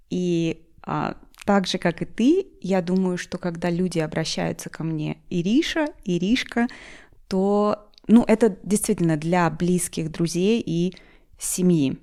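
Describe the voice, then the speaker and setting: Very slow-talking woman from Ufa. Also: fairly low pitch. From Ufa, Bashkortostan, Russia. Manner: very slow, measured, warm and clear. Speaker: guest. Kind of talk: slow conversational chat.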